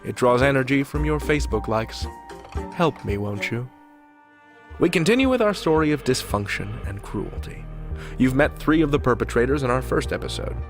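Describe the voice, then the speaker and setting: A man hosting a podcast, reading a scripted introduction with wry, deadpan humor. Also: mid-range voice, moderate pace. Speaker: podcast host. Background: music.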